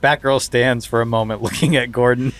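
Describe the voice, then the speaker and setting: A man's voice: medium pitch, fairly even intonation, fairly quick, fairly bright tone, soft background music. Man reading stage directions for laughs. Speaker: podcast co-host, narrator. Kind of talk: comedic script narration.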